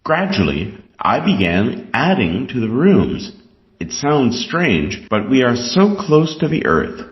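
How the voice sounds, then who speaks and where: A Canadian man reading scripted test material aloud in a deliberate, instructional way. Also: fairly low pitch. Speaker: reader of an English exam reading passage. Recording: dull, lo-fi sound.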